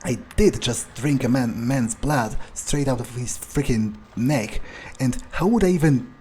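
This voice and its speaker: A man doing an improvised in-character gameplay monologue, thinking aloud in a halting, musing way. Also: mid-range voice, a little mellow, slight background noise. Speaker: gamer playing in character.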